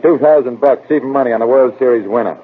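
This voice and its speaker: An actor playing a defensive, blunt baseball manager with wry, slangy talk in a 1940s radio drama. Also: male voice, mid-range voice, fast, a little hiss or hum, natural voice. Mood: dry, frank. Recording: tinny old radio broadcast.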